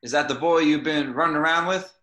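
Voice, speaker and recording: A man's voice: fairly high pitch, fairly quick, bright, forceful tone. A man playing a menacing, possessive father. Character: menacing middle-aged father, controlling and possessive. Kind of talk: amateur table read over video call. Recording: echoey room.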